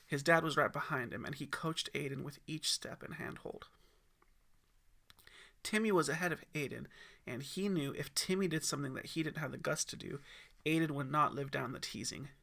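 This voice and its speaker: Male audiobook narrator, expressive storytelling, creaky bright voice. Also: on the high side.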